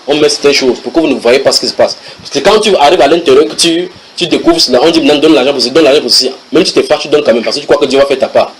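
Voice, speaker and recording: A man preaching a sermon to a congregation, reading English passages aloud and translating them with lively asides to his listeners. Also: mid-range voice, rapid-fire, hardly any pauses, a loud crowd, low-quality recording. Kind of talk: sermon teaching.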